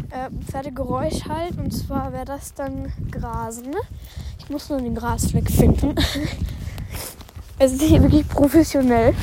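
Young woman, podcast host, stuffy cold, high voice, rambling, outdoor phone recording buried in loud wind. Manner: chatty, self-conscious, many fillers and restarts. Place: outdoors at a horse paddock in wind. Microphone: phone held while walking.

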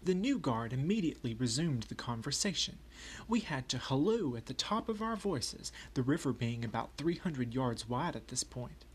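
A man's voice: mid-range voice, big pitch swings, moderate pace, breathy edge. A man voicing a folksy Confederate private with a Southern US accent, telling war memories as a first-person memoir. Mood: wry reminiscing.

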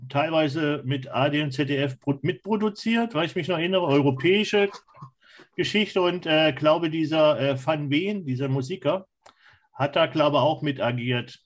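A man co-hosting a podcast, chatting casually in a halting, thinking-aloud way. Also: fairly high pitch. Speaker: podcast co-host.

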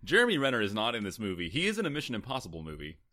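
Low-voiced man, mock-serious. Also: huge pitch swings, rapid-fire, sharp tone. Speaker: podcast co-host. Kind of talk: casual chat.

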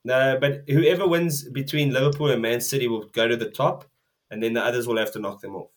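A man's voice: medium pitch, steady tone, a little fast. A man co-hosting a football podcast, teasing the others and swearing casually. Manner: teasing, swears, laughs often. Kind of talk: casual banter.

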